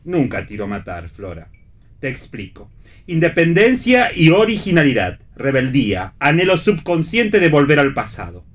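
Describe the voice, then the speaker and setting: A cocky Argentine man in a radio play. His delivery is dry and clipped, yet the pitch moves widely as he mocks and teases. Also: huge pitch swings, moderate pace. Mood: deadpan. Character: Miguel, wry, cocky, mocking man, an old flame. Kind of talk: read radio theatre.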